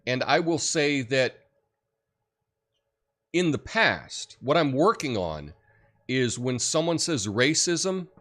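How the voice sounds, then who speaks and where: A deep-voiced man hosting a livestream, chatting casually and reading viewer comments with lots of fillers. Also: slow pace, pauses often. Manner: rambling, relaxed, many fillers and restarts. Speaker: livestream host.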